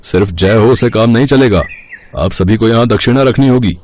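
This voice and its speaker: A man's voice: lowish voice, moderate pace, a little mellow, close to an everyday voice. A man voicing a greedy, bullying village headman in a moral story cartoon, with a domineering, sly manner. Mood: grand, proclaiming. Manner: domineering, demanding.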